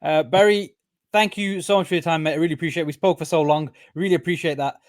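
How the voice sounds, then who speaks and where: Man as casual interview host. Brisk, fast talker, appreciative and easygoing, very dry room sound. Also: a little high, fairly even intonation, no room sound. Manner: appreciative, agreeable.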